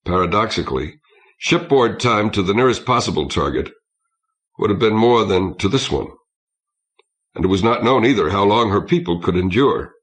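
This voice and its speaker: A man reading a science fiction story aloud as an audiobook narrator, in a measured and expressive way. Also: on the low side. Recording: old cassette audiobook transfer.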